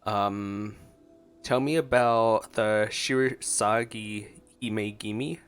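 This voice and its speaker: A male gaming streamer with a fairly low voice, giving casual reaction commentary. Faint music plays behind him. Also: fairly even intonation, takes it slowly, clear, resonant tone.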